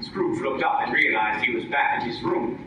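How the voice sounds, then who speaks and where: A man's voice: mid-range voice, lively intonation, moderate pace, background noise. A man narrating a scripted radio play in a dramatic storytelling style. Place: live stage performance, far from the mic. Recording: echoey distant live recording, music behind.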